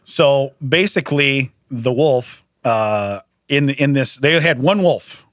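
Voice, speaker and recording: A man's voice: mid-range voice, takes it slowly, a bit bright, no room sound. Chuckling man host, dry close sound. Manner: laughs often, stumbles and restarts while telling stories. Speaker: podcast host. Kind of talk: casual comedic chat.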